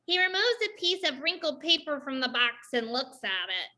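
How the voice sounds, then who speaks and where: A woman's voice: high-pitched, sing-song, moderate pace, bright, forceful tone. A woman reading stage directions aloud as scripted narration for a dramatized play reading.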